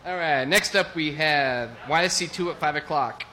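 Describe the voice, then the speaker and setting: A young adult man hosting a casual podcast, relaxed, announcing matchups and making picks. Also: on the high side, moderate pace, a little hiss or hum. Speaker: podcast host.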